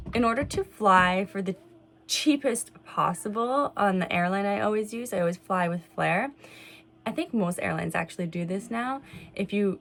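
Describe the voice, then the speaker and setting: A woman's voice: medium pitch, gravelly, a bit bright. A woman chatting informally as a mom vlogger, thinking aloud in casual home-video style. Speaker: vlog host and mother of young kids. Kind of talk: casual home vlog chat, talking to viewers and kids. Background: music.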